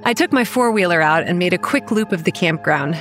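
Young woman running a creepy campground, lowish voice, dry and matter of fact, telling her story over music. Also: animated, fairly quick, a bit bright. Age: young adult. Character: young woman campground manager, wry, weary, protective narrator. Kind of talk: scripted first-person horror audio drama narration. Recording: music under the voice.

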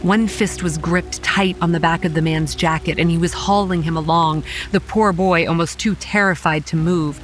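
A woman playing a young campground manager, narrating a horror audio drama in a grave, measured way with a dry edge. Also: on the low side, steady tone, natural voice. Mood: grave, haunted. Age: young adult. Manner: grave, measured storytelling with dry sarcastic asides. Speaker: narrator and lead actor. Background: quiet music and rain.

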